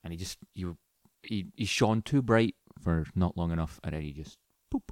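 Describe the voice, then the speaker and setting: A man's voice: low-pitched, moderate pace, darkish tone, no room sound, studio quality. A man co-hosting a podcast, chatting casually about films with sweary, sarcastic informality. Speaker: podcast co-host.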